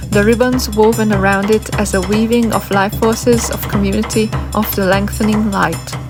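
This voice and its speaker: A woman giving a scripted documentary-style narration. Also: medium pitch. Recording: music under the voice. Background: music.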